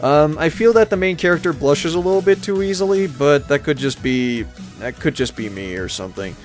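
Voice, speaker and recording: Higher-voiced young man rambling over game music. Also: expressive, fairly quick. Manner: rambling, hesitant, easily amused. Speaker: video game let's player. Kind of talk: casual gameplay commentary.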